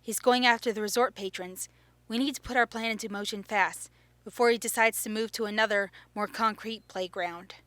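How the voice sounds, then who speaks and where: Female actor as confident teasing teenage girl, sharp bright voice, dry sound, audio drama. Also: medium pitch, steady tone, brisk, dry recording, lightly acted. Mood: urgent. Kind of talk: fan audio drama acting.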